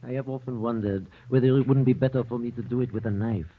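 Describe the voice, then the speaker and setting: An actor playing a sadistic aristocratic anarchist, casually cruel, in a 1930s radio drama. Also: male voice, mid-range voice, fairly even intonation, fast, close to an everyday voice. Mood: idly wicked. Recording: tinny 1930s radio recording.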